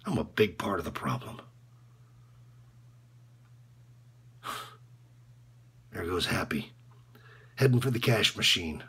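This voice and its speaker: A man performing a dramatic monologue as a bitter, disillusioned basketball coach, speaking in a halting, repetitive, sardonic way with a husky edge. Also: low voice, animated, bright, forceful tone, exaggerated voice. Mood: bitter regret. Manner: halting, repetitive, sardonic, swinging from muttering to outbursts. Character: disillusioned, bitter male college basketball coach confessing corruption. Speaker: actor performing a film monologue.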